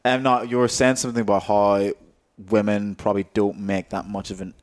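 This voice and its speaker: A man's voice: lowish voice, flat delivery, fairly quick. Rambling young man in casual chat. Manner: rambling, self-interrupting, joking. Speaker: podcast host.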